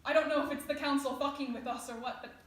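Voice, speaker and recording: A woman's voice: high voice, steady tone, quick pace, lightly acted. A woman playing a weary, loving, wistful soulmate in a staged play reading. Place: indoor stage. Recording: very echoey room, faint noise.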